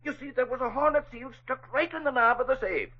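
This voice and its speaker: An actor playing an excitable, simple-minded Irish bodyguard in a 1940s radio drama, with a raspy voice and folksy, blustering manner. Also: male voice, very high-pitched, a little fast. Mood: folksy, eager. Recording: muffled old radio recording.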